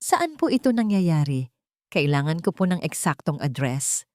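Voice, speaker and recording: A woman's voice: deep voice, big pitch swings, normal speed, darkish tone, no room sound, clean studio sound. A woman voicing a kind, concerned female social worker in an audio story, polite and professional. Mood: businesslike.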